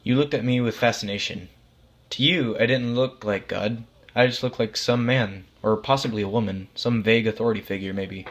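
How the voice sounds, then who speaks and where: A man's voice: lowish voice, a little fast, dull audio. Man narrating a short story aloud. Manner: acts out both sides of dialogue. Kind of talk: story narration with acted dialogue.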